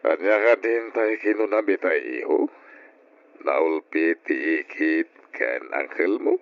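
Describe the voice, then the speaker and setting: An actor with an Ilocano accent playing a kindly, fatherly older gentleman, solicitous, in old radio drama style. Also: rumbling low, slow, exaggerated voice. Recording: dull old radio recording.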